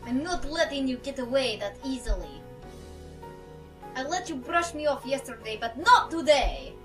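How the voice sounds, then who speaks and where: Young woman goofing around reading game lines, bright irreverent voice, casual chat, faint music behind. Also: high-pitched, animated, moderate pace, distant mic. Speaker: let's play co-host. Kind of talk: casual banter and reading game dialogue aloud.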